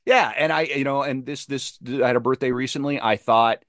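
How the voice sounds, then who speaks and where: A man's voice: mid-range voice, brisk, fairly bright tone, dry recording, clean studio sound. A man speaking as a podcast guest in casual, reflective conversation, earnest and discursive, repeating words for emphasis.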